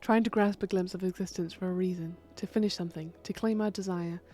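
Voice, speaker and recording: A woman's voice: fairly low pitch, steady tone, fast, warm tone, lightly acted. A woman voicing an old witch and necromancer, blunt, sardonic and crude-mouthed, in an audio drama. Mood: wry. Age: older.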